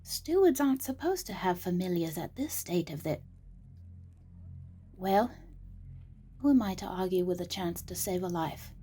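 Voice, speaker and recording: Woman playing a mellow but stern authority figure. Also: on the low side, animated, a little slow, pauses often, husky. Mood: exasperated. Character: exasperated woman of authority among stewards. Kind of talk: audio drama acting.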